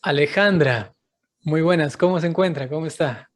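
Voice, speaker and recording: A man's voice: fairly high pitch, moderate pace. A man, a priest hosting his channel, interviewing a guest over a video call and laughing often. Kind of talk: interview host.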